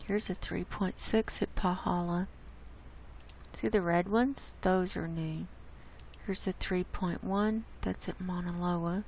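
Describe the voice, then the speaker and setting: A hoarse woman hosting her own channel, walking casually through earthquake maps on screen and pointing out each spot with an unhurried, gravelly delivery. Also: on the low side, fairly even intonation, a little mellow, a little hiss or hum. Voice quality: gravelly, coughs and clears throat. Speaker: online science channel host. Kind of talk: casual screen-share data report. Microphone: desk mic.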